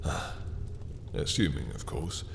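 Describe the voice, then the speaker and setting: A man's voice: very deep voice, sing-song, slow, vocal fry, in a large hall, noisy background, full character voice. A man playing a dominant, obsessive vampire in an intimate roleplay audio drama, silky and mocking, with theatrical courtesy as he lingers over words. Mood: bargaining. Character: dominant, obsessive male vampire taunting a captured hunter.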